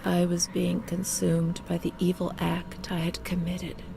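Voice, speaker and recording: A woman playing a fragile, haunted young former asylum patient in a narrative game, delivering a slow, halting, confessional monologue in a breathy voice that often sinks to a whisper. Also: lowish voice, completely flat delivery, background noise. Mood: traumatized, anguished. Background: music.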